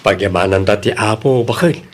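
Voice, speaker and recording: A man playing a patient, considerate husband and dutiful son in an audio drama, calm and reassuring. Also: fairly low pitch, a little fast, close to an everyday voice.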